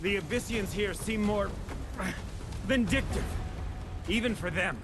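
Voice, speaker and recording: An actor playing a deferential scout who reports enemy movements in a fantasy video game. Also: male voice, sits high, unhurried, background noise. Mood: concerned.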